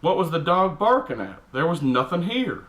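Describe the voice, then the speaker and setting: A man's voice: fairly high pitch, sing-song, moderate pace, fairly bright tone. A man putting on a cranky middle-aged Texan dad with a Texan accent, reading a picture book aloud with blunt, exasperated asides. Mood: exasperated storytelling. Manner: grumpy, exasperated, blunt, mildly profane. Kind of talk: comic character storybook reading with grumbling asides.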